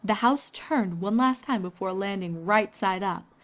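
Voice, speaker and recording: A woman's voice: mid-range voice, measured pace, fairly bright tone. Woman narrating a scripted fan story.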